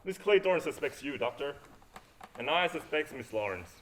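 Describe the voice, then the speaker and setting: A man's voice: on the high side, lively intonation, moderate pace, fairly bright tone, a little hiss or hum. A young man acting a wry, suspicious adventurer with a sardonic, questioning manner in a student stage play. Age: young adult.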